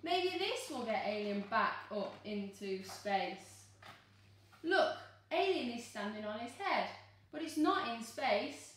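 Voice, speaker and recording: A woman in her thirties reading a picture book aloud to children in an expressive, exclaiming, coaxing teacher's manner. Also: fairly high pitch, slow pace, a little hiss or hum. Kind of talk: animated children's storybook read-aloud. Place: living room, seated on a sofa. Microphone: camera a few metres away. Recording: echoey room, distant microphone.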